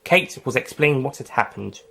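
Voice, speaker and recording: A man's voice: medium pitch, lively intonation, brisk, creaky, sharp tone. A man narrating his own fan audio story in a plain storybook reading. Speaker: writer and reader.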